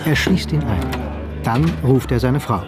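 A man's voice: medium pitch, a little slow. A man narrating a scripted radio drama as its storyteller. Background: music.

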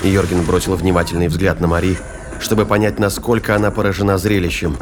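A man's voice: deep voice, flat delivery, quick pace, noisy background. A man reading scripted narration for a science fiction thriller audio drama. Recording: narration over music and battle effects.

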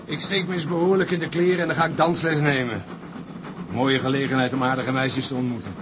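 An actor playing a jaunty, breezy young sailor in a radio drama. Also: male voice, fairly even intonation, a little fast, lightly acted. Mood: upbeat. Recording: clear train rumble behind.

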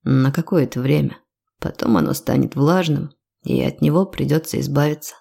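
A woman voicing a self-assured, quietly commanding male lover in a romance audiobook, measured and teasing. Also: sits low, creaky, breathy edge, full character voice.

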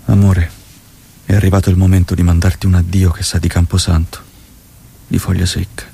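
An actor playing a tender, solemn revolutionary saying farewell in poetic, measured stage-drama style. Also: male voice, low voice, flat delivery, creaky, warm tone, slightly rough, heavily acted. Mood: tender melancholy. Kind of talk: poetic stage-drama acting, reciting letters.

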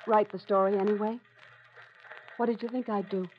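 An actress playing a determined, plucky newspaper reporter in an old-time radio drama. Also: female voice, mid-range voice, flat delivery, normal speed, lightly acted. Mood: brisk, determined. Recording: dull old radio recording.

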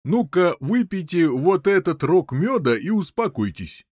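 A hearty older lord of the castle, acted by a male narrator. His voice is fairly high and lively, bluff and emphatic, in a storybook tale. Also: measured pace, no echo, studio quality, full character voice. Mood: soothing.

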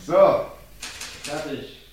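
A jumpy police clerk, roomy old sound. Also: male voice, a little high, extremely slow, a little hiss or hum. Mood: eager. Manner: nervous, eager.

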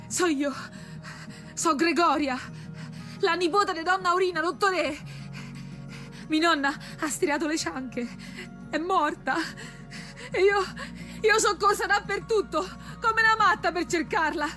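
An actress voicing a distraught, naive young granddaughter with a central Italian, Roman-area dialect, telling things in a breathless, colloquial way in a radio drama. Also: female voice, extremely high pitch, a little slow, long pauses, pushed and bright, soft background music, exaggerated voice. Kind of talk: theatrical radio drama acting.

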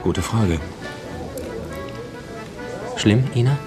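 A man's voice: fairly low pitch, expressive, extremely slow, music in the background. A man acting a police subordinate who reports to the chief in a radio crime drama, factual, clipped and dryly precise. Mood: sober.

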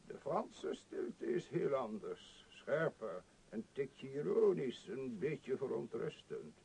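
An actor playing a worldly, courteous vagabond who muses lyrically in a radio play, with a gravelly, husky voice. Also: male voice, medium pitch, very animated, warm tone, exaggerated voice, rough recording. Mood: musing, lyrical. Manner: ruminative, lyrical, unhurried. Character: worldly, musing vagabond man, philosophical and courteous. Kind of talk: Dutch radio play acting.

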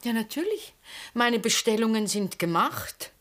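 An actress playing a vivacious, effusive middle-aged former stage star with an Austrian accent in a 1960s radio drama. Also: female voice, on the high side, very animated, unhurried, fairly bright tone. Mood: exuberant. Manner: theatrical, effusive, often breaking into laughter. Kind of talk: 1960s German-language radio drama acting.

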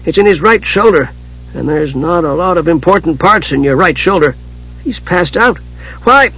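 A small, eager storybook kender played by a man, pitched high and rambling on with anxious questions. The voice swings about, on a slightly dull recording. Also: expressive, normal speed, fairly bright tone, husky, exaggerated voice. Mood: eager, chatty. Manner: rambling, inquisitive, earnest.

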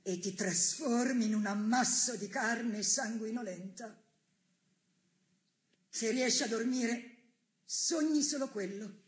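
A worn, steadfast woman held prisoner in a stage drama. She speaks in a fairly high voice, very slowly and solemnly, measuring out each phrase with gentle resolve. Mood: grave, haunted. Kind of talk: stylized stage theatre monologue and dialogue.

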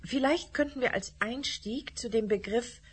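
A woman's voice: on the high side, moderate pace, husky. An actress playing a woman who hosts a televised science discussion, speaking formally and courteously. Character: woman TV science show host, formal and polite. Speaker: host. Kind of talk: radio drama acting.

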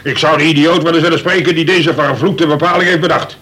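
An actor playing a gruff, exasperated middle-aged colonel who governs a prison, blustering wearily in a Dutch radio play. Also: male voice, on the high side, steady tone, fast, a bit bright, lightly acted. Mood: grumbling. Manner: gruff, weary, blustering authority. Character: grumpy, exasperated grey-haired male prison governor, a colonel.

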